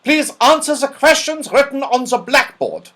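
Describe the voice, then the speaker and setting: A fierce old schoolmistress from a children's storybook , acted out by a man. The voice is pushed high and loud, snapping out orders in a cross, commanding manner. The delivery swoops dramatically. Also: animated, normal speed, heavily acted. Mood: stern, commanding.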